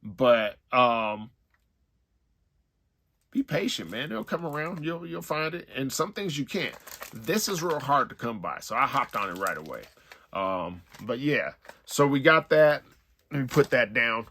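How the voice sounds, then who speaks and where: An American man with a bright, punchy voice hosts a casual unboxing. He rambles conversationally in relaxed street slang, often checking in with his viewers. His pitch sits in the middle range, and there is noticeable noise behind him. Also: a little slow. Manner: rambling, slangy, conversational with repeated tag phrases. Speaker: music collector and online store owner hosting an unboxing. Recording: noticeable background noise with clicks.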